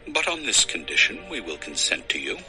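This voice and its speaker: An actor playing a scheming brother of an ancient clan in an audio Bible drama, bargaining deliberately. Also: male voice, lowish voice, huge pitch swings, music in the background. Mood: calculating.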